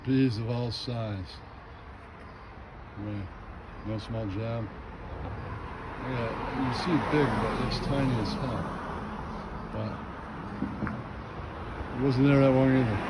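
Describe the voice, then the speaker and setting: A man's voice: medium pitch, steady tone, slow pace, pauses often, background noise. A man giving rambling, casual vlog narration outdoors in murmured, fragmentary musings. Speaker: amateur video maker.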